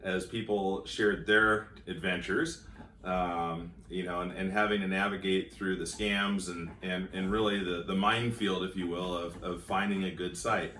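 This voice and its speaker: A man's voice: deep voice, steady tone, pushed and bright, slight background noise. A middle-aged man, a school teacher from Montana, telling his marriage story with many fillers and restarts. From Montana, United States. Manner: halting, many fillers and repeated words. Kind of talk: casual testimonial. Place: home in California. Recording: roomy echo, voice from a distance.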